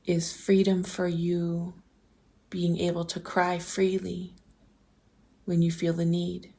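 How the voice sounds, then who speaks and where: A woman leading a guided visualization, speaking softly and breathily in gentle, deliberate questions that often slip toward a whisper. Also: fairly low pitch, completely flat delivery, gravelly. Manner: gentle, deliberate, posing open questions with pauses. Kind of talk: slow guided meditation, reflective questions.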